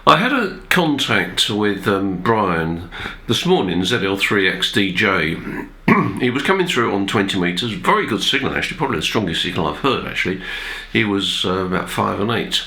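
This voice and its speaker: Male radio hobby presenter, lowish bright voice, nasal with a cold, chatty and halting. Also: sing-song. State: has a cold, throat-clearing. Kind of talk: informal explanatory talk to viewers.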